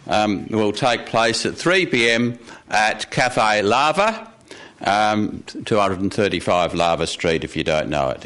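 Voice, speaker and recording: A man with a low-pitched voice hosts a live event from a radio studio. He gives announcements informally, improvising and halting as he works through the details. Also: a bit bright. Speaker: festival event host.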